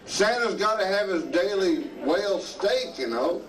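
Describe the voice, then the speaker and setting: Man as a slow, drawling backwoods elf with a high voice, taken live from a distance over crowd and music. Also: lively intonation. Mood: folksy. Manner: folksy, drawling, chuckling. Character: crude backwoods male elf, greasing reindeer. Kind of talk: live amateur stage comedy. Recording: live club recording from far off, loud music and crowd.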